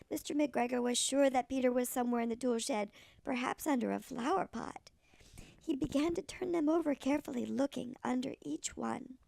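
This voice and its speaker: A woman voicing a tiny old granny who reads a children's storybook expressively in a gravelly voice. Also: high-pitched, no room sound. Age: older. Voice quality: creaky, gravelly.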